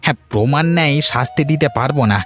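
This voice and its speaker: A man's voice: mid-range voice, fast talker, fairly bright tone, soft background music, close to an everyday voice. A man playing a helpful, scheming villager in a cartoon moral story, explaining things with assurance. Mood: confident, plotting.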